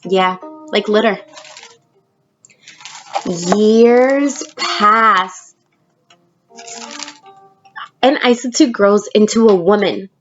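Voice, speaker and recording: A woman teacher reading a picture book aloud expressively, pausing to prompt young listeners with questions. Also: medium pitch, dull audio, slight background noise. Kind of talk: storybook read-aloud with questions to children.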